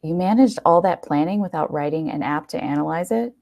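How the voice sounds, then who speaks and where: Woman reading as a sardonic jealous man, gravelly. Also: low voice, a little fast, a little mellow. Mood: sceptical, sardonic. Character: jealous young man, guarded, sardonic false friend. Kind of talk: storybook character dialogue.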